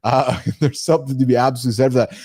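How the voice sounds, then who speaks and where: A man's voice: rapid-fire, breathy edge. A middle-aged man hosting a live morning talk show, speaking casually and with animation, often addressing his listeners as guys. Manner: animated, calls listeners guys, jumps in pitch.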